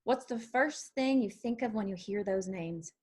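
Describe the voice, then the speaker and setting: A woman's voice: medium pitch, normal speed, darkish tone, breathy edge, lightly acted. A woman reading the part of a bold, blunt young woman in a screenplay table read, asking challenging questions. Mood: probing. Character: Charlie, bold blunt young woman joining country band. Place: remote virtual table read.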